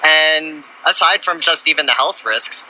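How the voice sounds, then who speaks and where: A young man explaining his views in halting, measured phrases in an outdoor street interview. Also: fairly high pitch, sharp tone, poor audio. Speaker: student protester and interviewee. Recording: faint street noise behind.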